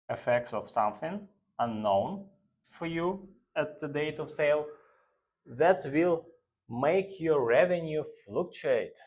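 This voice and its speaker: Slow, halting male finance instructor. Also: mid-range voice, a little mellow. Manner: halting, deliberate delivery in short phrases. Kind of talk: explanatory lecture.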